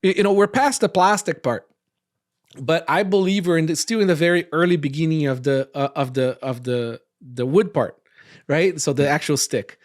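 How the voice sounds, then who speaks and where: A man from Brazil hosting a casual roundtable podcast, rambling and often tagging his points with quick questions. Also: on the high side. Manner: rambling, frequent tag questions. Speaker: podcast host.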